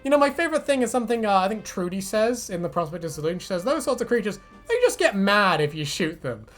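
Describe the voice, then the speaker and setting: A man's voice: sits high, sing-song, quick pace, a bit bright, faint music behind. A man hosting a casual fan discussion, chatting in a rambling, sweary way. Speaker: video host.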